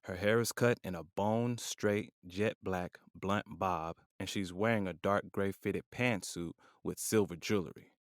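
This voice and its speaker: A man narrating an audio drama with measured, descriptive delivery. His voice is on the low side. The recording is dry, with no room sound. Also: dry recording.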